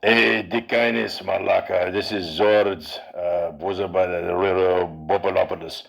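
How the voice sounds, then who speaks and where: A man's voice: low voice, steady tone, a little slow, gravelly, slightly rough, pitched below the performer's own voice, heavily acted. A man doing a Greek accent as a flustered diner worker calling into the show, stumbling over every sentence. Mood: flustered, rambling. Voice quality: hesitant, stumbling, full of "uh". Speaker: caller.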